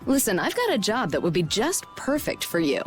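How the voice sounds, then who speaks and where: A woman's voice: mid-range voice, wildly swooping pitch, faint music behind. Commanding, persuasive businesswoman. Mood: businesslike. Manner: brisk, polished, persuasive. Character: shrewd, confident businesswoman, commanding president of a merchant guild. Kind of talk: video game dub acting.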